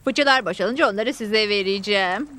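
A woman's voice: medium pitch, normal speed, noisy background, lightly acted. A woman playing a bossy, thrifty and determined pioneer wife in a dubbed cartoon, speaking insistently and decisively. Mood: eager, decisive. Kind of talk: cartoon dub acting. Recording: music clearly behind.

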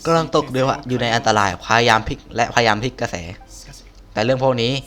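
Guy reading young noble son from a game, earnest n defiant, bright voice, kinda halting. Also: mid-range voice, natural voice. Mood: defiant, accusing. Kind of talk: reading game dialogue aloud.

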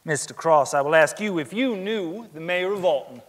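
High male voice, formal examining attorney, played by an actor, stage courtroom reading, roomy hall reverb. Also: lively intonation, normal speed, close to an everyday voice. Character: formal questioning lawyer in an 1890s trial. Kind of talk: staged dramatic reading of a trial. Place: large theater stage, indoors.

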